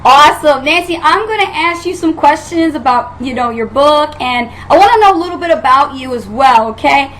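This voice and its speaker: A woman's voice: high voice, lively intonation, normal speed, hardly any pauses, fairly bright tone, slight background noise, rough recording. A woman hosting an interview show, enthusiastic and familiar with her guest. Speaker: talk show host.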